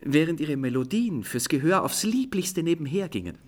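Mozart, a cheerful courtly raconteur. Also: male voice, fairly high pitch, big pitch swings, normal speed, darkish tone. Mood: playful, confessing. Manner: florid, storytelling, courteous. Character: Mozart as a cheerful, self-mocking, courtly composer in his prime. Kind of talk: German radio play acting.

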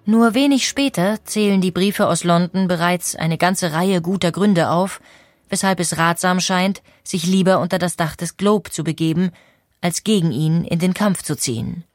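A woman narrating a history documentary in a clear, composed storytelling manner. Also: fairly low pitch, normal speed, no echo, studio quality, soft background music.

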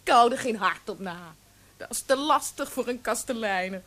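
A high-pitched, saucy tavern keeper in a radio drama. She is shrewd and self-assured, with a quick-witted, teasing delivery. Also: animated, fairly bright tone. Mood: teasing, amused. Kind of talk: Dutch radio play acting.

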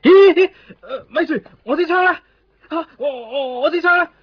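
A man's voice: very high-pitched, moderate pace. A man playing an aggressive, blustering police officer in a radio drama, with a Hong Kong Cantonese accent. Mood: panicked. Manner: blustering, confrontational, emphatic. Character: hot-headed, aggressive male police officer. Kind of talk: 1990s radio drama acting. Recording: dull, band-limited radio recording.

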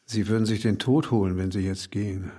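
A man playing a cryptic, formal older village man in an audio drama, speaking in a measured way with a husky voice. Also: fairly low pitch, steady tone, mellow, lightly acted. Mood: ominous. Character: cryptic, formal old village man hinting at dark secrets.

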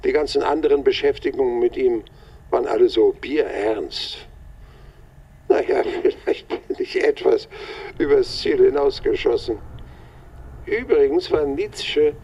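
Husky older man, wry radio drama monologue, slow and halting, reminiscing. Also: medium pitch, dark tone. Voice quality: husky, gravelly, breathy.

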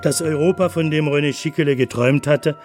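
A man delivering scripted documentary narration in a measured, factual manner. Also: on the high side, steady tone, darkish tone. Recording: music faintly under narration.

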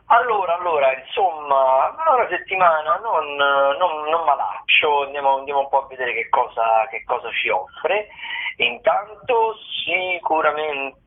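A man's voice: a little high, lively intonation, measured pace. A man who is a theatre critic, calling in with the week's listings in a hesitant, rambling way full of fillers. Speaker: theatre critic, weekly contributor. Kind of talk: casual phoned-in theatre listings. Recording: husky phone line over radio.

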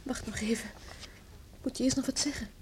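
A woman's voice: sits high, fairly even intonation, fairly quick, a little mellow, lightly acted. An actress playing an anxious, tender-hearted young village woman in a radio play, with an emotional, pleading manner. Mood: worried, hushed. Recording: faint noise and clicks, old radio recording.

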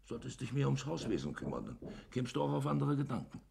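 An evasive, blustering husband and shopkeeper in a radio play. His gravelly voice swings animatedly up and down. He repeats words and stammers as he justifies himself. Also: mid-range voice, very animated, fast, warm tone, a little hiss or hum. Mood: placating. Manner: repetitive, stammering self-justification. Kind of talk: German radio drama acting.

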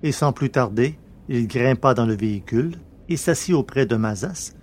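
A male narrator reads a science fiction tale in a middle-range voice. His delivery is scripted, measured and literary, in the manner of an audiobook. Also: mid-range voice, lively intonation, darkish tone.

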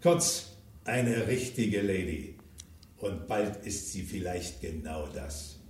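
A man's voice: low-pitched, animated, slow, a little mellow, far from the mic. An actor in a German crime radio drama playing a cynical, ambitious self-made businessman, blunt and coarse, with theatrical emphasis. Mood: cynical, boastful. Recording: echoey room, off the microphone.

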